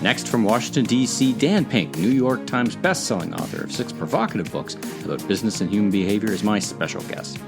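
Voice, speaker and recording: Low-voiced male podcast host. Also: lively intonation, fairly quick, vocal fry, few pauses. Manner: long winding questions with self-corrections. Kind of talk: scripted intro, then conversational interviewing. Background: music under the intro.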